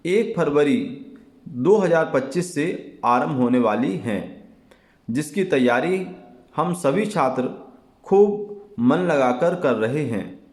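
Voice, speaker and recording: A man with a somewhat high-pitched voice gives an instructional lesson to students. He explains deliberately and repeats key phrases. The recording has a strong room echo around his voice. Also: darkish tone. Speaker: Hindi teacher. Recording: echoey, reverberant room.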